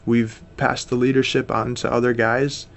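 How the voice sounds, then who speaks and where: A man's voice: mid-range voice, level tone, moderate pace, creaky. An American man in his thirties, a missionary guest, talking earnestly and steadily in a casual interview, with frequent fillers. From United States.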